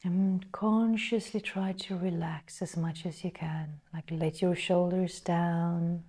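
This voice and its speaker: Soft, husky, slow-speaking woman meditation guide. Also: lowish voice, steady tone. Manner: soft, breathy, partly whispered delivery; slow, measured, pausing between phrases.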